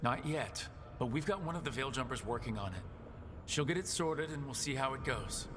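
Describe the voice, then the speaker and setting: An actor playing an easygoing adventurer hero in a fantasy game, with casual, informal phrasing. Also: male voice, medium pitch, lively intonation, normal speed, background noise, lightly acted. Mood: breezy. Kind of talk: video game voice acting. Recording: music clearly heard behind.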